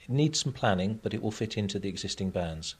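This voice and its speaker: A man giving measured, matter-of-fact explanations as an expert guest in a radio interview. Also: fairly low pitch, lively intonation, a little mellow, breathy edge.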